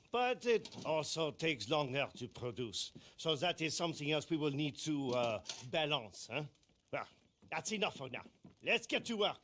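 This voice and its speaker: An actor playing a proud, fussy, genteel French master distiller with a French accent, flamboyant and didactic, in a game cutscene. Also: male voice, a little high, a bit bright, a little hiss or hum. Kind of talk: cinematic game dialogue.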